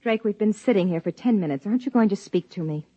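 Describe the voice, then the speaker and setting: An actress playing a wary, observant wife and biologist, narrating in measured 1950s radio drama style, on a dull old broadcast recording. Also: female voice, mid-range voice, animated, mellow, no echo, natural voice. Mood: tense. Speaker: narrator and lead character.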